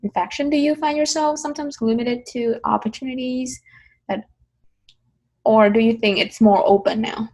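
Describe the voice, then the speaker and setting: A woman's voice: fairly high pitch, steady tone, normal speed, a little mellow. A woman interviewer asking plainly worded questions in an oral history interview and giving short backchannels.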